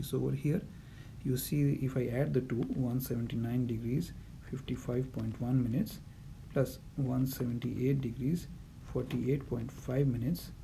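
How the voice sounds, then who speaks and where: A man's voice: medium pitch, gravelly, warm tone, a little hiss or hum, low-quality recording. A man teaching navigation calculations in a voice-over lesson, methodical and repetitive, with frequent fillers. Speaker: navigation instructor. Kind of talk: explanatory lesson over handwritten notes.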